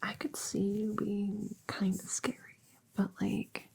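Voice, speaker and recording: Friendly female witch vet, acted by a woman for ASMR roleplay: mellow, unhurried, gentle, goofy, halting and reassuring. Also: mid-range voice, level tone, full character voice. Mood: casual, explaining. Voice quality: soft, breathy, often whispering, with creak. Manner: gentle, chatty, halting, teasing. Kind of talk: soft ASMR audio roleplay.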